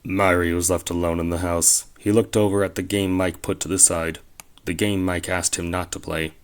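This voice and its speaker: A man acting as a calm storyteller, reading scripted third-person narration for an audio drama. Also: sits low, normal speed, smooth, lightly acted.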